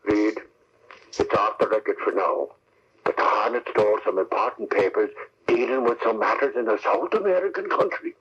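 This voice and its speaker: An actor playing a brash, folksy Irish newspaper reporter with slangy, emphatic delivery in an old radio drama. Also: male voice, lowish voice, moderate pace, a bit bright, breathy edge. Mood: confidential, determined. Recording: muffled, scratchy old radio recording.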